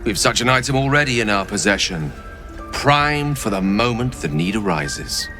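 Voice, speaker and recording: An actor playing an eloquent, polite and self-assured wizard with florid, formal speech in a fantasy video game. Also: male voice, mid-range voice, very animated, normal speed, creaky, pushed and bright, faint music behind, exaggerated voice. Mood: courteous, assured.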